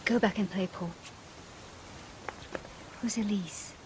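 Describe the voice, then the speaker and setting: An actress as a tender wife talking softly and soothingly to her husband. Clear noise from the surroundings runs under her voice. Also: medium pitch, steady tone, slow, lightly acted. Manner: soft, soothing.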